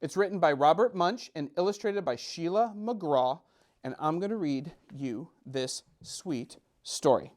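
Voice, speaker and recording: Middle aged guy chatting to kids, kinda high voice, playful and jokey, storytime host. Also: unhurried. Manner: playful, self-deprecating, animated. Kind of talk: casual chat to children, joking.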